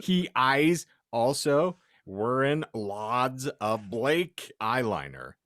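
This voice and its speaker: Slow, wildly swooping man reading theatrically. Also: medium pitch, very animated, fairly bright tone, dry recording, studio quality. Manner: theatrical delivery, often breaking into laughter. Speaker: podcast host. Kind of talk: comic dramatic reading of misspelled fan fiction.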